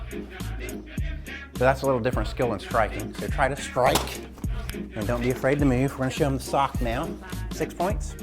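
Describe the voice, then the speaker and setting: A man coaching a home exercise game as a gym teacher, casual, encouraging and instructive, speaking indoors. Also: medium pitch.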